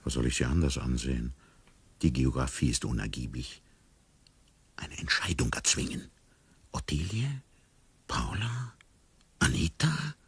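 An actor playing a bewildered amnesiac man in a radio play, musing inwardly in a hushed, wryly detached way. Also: very deep voice, expressive, a little slow, long pauses, breathy edge, no echo, full character voice. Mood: wry unease. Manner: hushed, musing, often near whispering. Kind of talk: radio play inner monologue.

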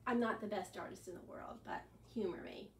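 A woman in her sixties, a children's librarian leading an animated, sing-song storytime from an armchair in a living room. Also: medium pitch, a little fast, a little hiss or hum. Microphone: camera at a distance. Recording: echoey room, far from the mic.

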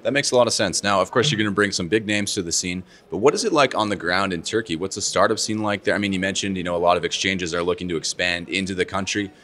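Deep-voiced guy in his 30s hosting, quick and laid back, says dude and right on, handheld mic. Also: slight background noise. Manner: relaxed, brief backchannels, casual slang. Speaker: host. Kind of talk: conference interview. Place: booth at a conference, indoors. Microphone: handheld microphone.

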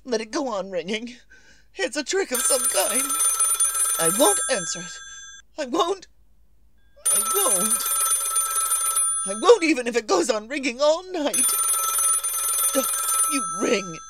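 An actress playing a nervous, imperious, querulous wife in 1940s radio suspense style, melodramatic and demanding. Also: female voice, fairly high pitch, big pitch swings, background noise. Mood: anxious, querulous. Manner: melodramatic, demanding, drawn-out delivery.

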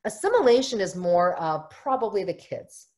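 A woman's voice: mid-range voice, sing-song, moderate pace, a little mellow. A middle-aged woman who is a family therapist, giving an online workshop from a home office over a webcam, earnest and explanatory. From United States. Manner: earnest, explanatory, often acts out quoted voices. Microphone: webcam on a video call.

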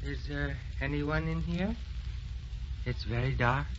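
An actor playing a devoted, elderly husband, feeble and forgetful, in vintage radio drama style. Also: male voice, fairly high pitch, slow, a little hiss or hum. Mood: drowsy, confiding. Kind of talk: 1930s radio drama acting. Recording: dull vintage radio recording with music.

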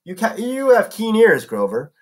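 A sweetly sinister old woman, as a man reads her in a storybook reading, coaxing and soft, with stiff formal phrasing. Her voice sits a little high and she seems kind but is never quite trustworthy. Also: wildly swooping pitch, normal speed. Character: sweetly sinister old woman, lonely garden statue maker.

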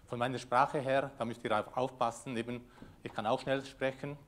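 A man's voice: medium pitch, steady tone, normal speed, fairly bright tone, a little hiss or hum. Man giving a talk. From Switzerland. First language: Italian. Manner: loose, hesitant delivery with many fillers. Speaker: conference speaker. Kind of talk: conference presentation. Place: lecture room with audience. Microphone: lectern microphone.

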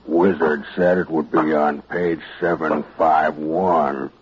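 A man playing a sinister, uncouth half-human with a rough voice, slow, ominous and insistent, in 1940s radio drama. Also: rumbling low, steady tone, slight background noise. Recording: dull old radio recording.

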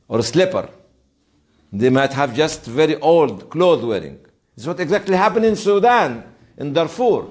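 Animated man lecturing a class. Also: a little high. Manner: emphatic storytelling with rhetorical questions. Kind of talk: informal interactive classroom lecture.

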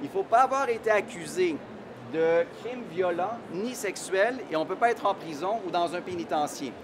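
A middle-aged man with a Québécois accent presents to an audience in a large exhibition hall, speaking into a handheld microphone. His voice sits high for a man, and he often pauses on "euh" as he explains. A clear wash of hall noise runs behind him. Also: lively intonation. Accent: Québécois French. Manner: explanatory, frequent hesitations with "euh". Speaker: immigration consultant. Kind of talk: conference presentation.

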